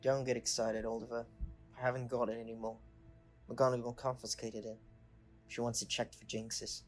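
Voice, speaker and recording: A man's voice: mid-range voice, flat delivery, normal speed, soft background music, lightly acted. A man acting a glum, plain-spoken teenage schoolboy in an audio drama.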